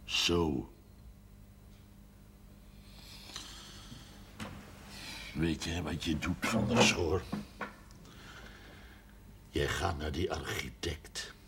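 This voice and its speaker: A very deep, gravelly stubborn farmer. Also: male voice, steady tone, slow, very noisy, heavily acted. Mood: curt, angry. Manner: curt, blunt. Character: gruff, stubborn farmer and father of seven sons. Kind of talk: 1970s Dutch television period drama acting.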